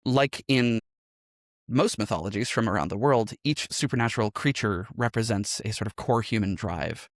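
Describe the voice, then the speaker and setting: A man's voice: on the low side, moderate pace, no room sound, clean studio sound. A man speaking as a podcast guest, explaining his creative work conversationally and often checking in with a questioning tag.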